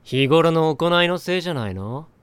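A man's voice: on the high side, expressive, normal speed, clean tone, no echo, lightly acted. A man voicing an aloof, sarcastic teenage boy with a dry, curt, mocking delivery in an anime audio drama. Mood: snide.

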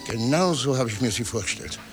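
A man's voice: medium pitch, lively intonation, fairly quick, over music, lightly acted. An actor in a 1960 radio drama playing a snobbish middle-aged Viennese father and officer, scolding pompously and indignantly. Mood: outraged.

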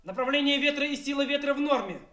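Echoey, very high-pitched military operator. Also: male voice, fast. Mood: brisk. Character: brisk, dutiful young military signals operator relaying orders. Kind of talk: Soviet radio play acting. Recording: echoey radio drama with loud music.

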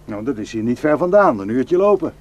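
A man's voice: mid-range voice, very animated, fairly quick, a little mellow, close to an everyday voice. An actor in a radio drama playing a protective, suspicious, hot-tempered village herdsman and husband, scornful and impulsive. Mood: easygoing, helpful.

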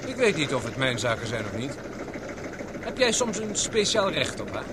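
A man's voice: mid-range voice, very animated, moderate pace, close to an everyday voice. An actor playing a jealous, touchy young man in a radio drama, needling and provocative. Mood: taunting, jealous. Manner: provocative, taunting. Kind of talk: Dutch radio drama acting. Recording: loud motorboat engine noise behind. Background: boat engine and water.

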